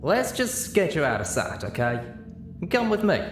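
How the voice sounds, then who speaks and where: A man's voice: on the high side, big pitch swings, moderate pace, music in the background, voice pushed up. A man voicing an earnest, protective country mare pony with folksy phrasing in a story reading. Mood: resigned. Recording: roomy, clearly noisy home recording.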